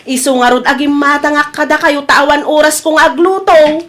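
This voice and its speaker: A woman's voice: sits high, fairly even intonation, normal speed, fairly bright tone. A woman with an Ilocano accent playing an aggrieved, dutiful young daughter in an audio drama. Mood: indignant.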